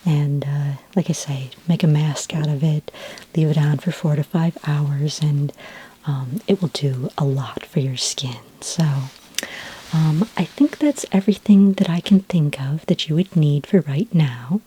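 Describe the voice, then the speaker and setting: A woman acts out a gentle female skin care consultant in a sci-fi ASMR roleplay. Her voice is low, mellow and very breathy, often slipping close to a whisper. She explains things in an informal, slightly hesitant way, calm and reassuring. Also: a little slow, full character voice, low-quality recording. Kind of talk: soft-spoken ASMR roleplay.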